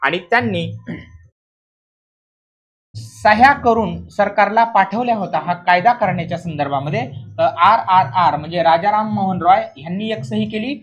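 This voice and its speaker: A high-pitched man lectures as an exam coaching teacher, stressing and repeating key points and checking understanding with questions. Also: normal speed, pauses often, fairly bright tone. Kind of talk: classroom lecture explaining answers.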